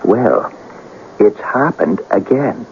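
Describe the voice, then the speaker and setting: An actor playing a deferential police sergeant with a hesitant, folksy manner in a 1970s radio drama. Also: male voice, lowish voice, expressive, slow pace, gravelly, darkish tone, heavily acted. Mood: hesitant, grave. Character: deferential police sergeant bringing grim news. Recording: tinny, noisy old radio broadcast.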